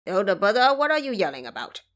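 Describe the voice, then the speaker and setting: Foolish pig sidekick, male woman performer, blunt and full of grumbles, animated intonation, audio drama. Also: medium pitch, fast talker. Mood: alarmed. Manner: blunt, complaining, blustering.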